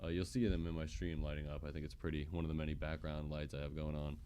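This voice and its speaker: Deep-voiced man, casual livestream chat. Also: flat delivery, fast talker, dark tone, slight background noise. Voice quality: naturally deep voice. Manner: rambling, self-interrupting. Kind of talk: casual tech demonstration.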